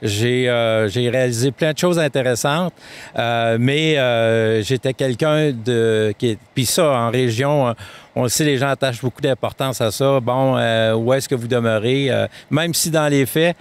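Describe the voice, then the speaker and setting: A Québécois man answers interview questions as a political candidate. He speaks at a middle pitch in a measured way. He often pauses with hesitations. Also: clear, resonant tone. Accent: Québécois French.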